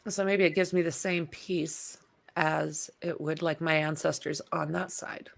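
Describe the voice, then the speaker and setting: A woman's voice: low-pitched, unhurried, gravelly. Chatty woman hosting a casual livestream. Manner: rambling, relaxed and chatty, with frequent fillers. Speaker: livestream host. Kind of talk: casual chat.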